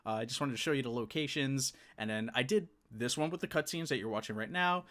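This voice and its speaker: A male gaming creator talks straight to his viewers. His voice sits at a middle pitch, and his delivery is casual, informal and a bit rambling. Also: quick pace, fairly bright tone. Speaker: gaming video creator and host.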